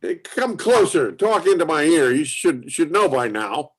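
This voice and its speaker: A man playing a gruff, hard-of-hearing inventor in a play reading, folksy and cagey, with rambling, halting, swearing speech. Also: on the high side, sing-song, full character voice. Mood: probing. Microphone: video call.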